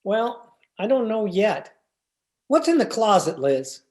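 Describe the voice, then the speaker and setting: An earnest, flustered husband played by a man in an old-time radio comedy. He speaks slowly and hesitantly, with big swoops of pitch when exasperated. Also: sing-song, a little mellow, exaggerated voice. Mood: puzzled, probing.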